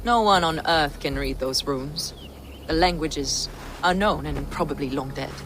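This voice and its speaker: A woman playing a devout, fervent medieval scholar in a video game, speaking in a formal, reverent, deliberate way. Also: deep voice, fairly bright tone, a little hiss or hum, rough recording. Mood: reverent, intrigued. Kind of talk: dramatic video game acting. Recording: music behind the voice.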